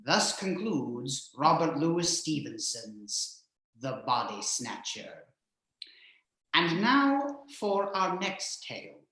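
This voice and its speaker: A man playing a flamboyant, vain vampire count who hosts a horror show with melodramatic, drawn-out delivery. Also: a little high, sharp tone, full character voice. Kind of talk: theatrical horror host narration. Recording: very echoey room.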